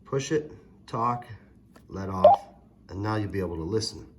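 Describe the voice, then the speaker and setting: A man's voice: lowish voice, lively intonation, normal speed. A man giving a casual, plainspoken hands-on product demonstration in a folksy way. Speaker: product reviewer. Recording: loud background noise, vehicle ambience.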